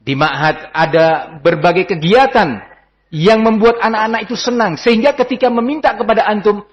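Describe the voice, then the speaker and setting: A man's voice: high-pitched, moderate pace. An Indonesian man giving an informal religious lecture as an Islamic teacher, conversational and didactic, often posing rhetorical questions. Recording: dull, lo-fi lecture recording.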